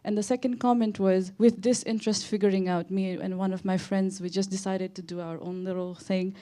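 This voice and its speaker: Young nepali-accented woman chiming in from the audience at a conference, informal, soft dark tone. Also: medium pitch, flat delivery, a little fast. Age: young adult. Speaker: audience member making a comment. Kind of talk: informal spoken comment at a conference.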